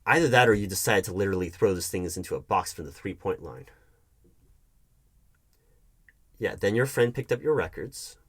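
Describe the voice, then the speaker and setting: A man playing a hurt, defensive hiring manager in an audio roleplay, talking to his ex in a halting, sarcastic way. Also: lowish voice, lively intonation, a bit bright, husky. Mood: bitter, subdued. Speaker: roleplay performer. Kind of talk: one-sided audio roleplay acting.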